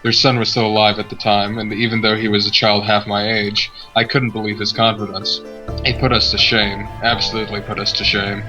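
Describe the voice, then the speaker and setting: Man playing a proud bitter young nobleman, low voice. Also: level tone, brisk, natural voice. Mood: solemn, resolute. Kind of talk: dramatic reading of visual novel letter. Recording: dull audio, music clearly behind.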